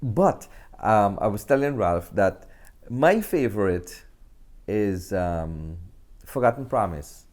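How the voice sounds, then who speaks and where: A man from Guyana with a Guyanese accent talks casually as an interview guest, often pausing mid-sentence. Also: lowish voice, lively intonation, darkish tone. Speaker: interview guest, actor and filmmaker.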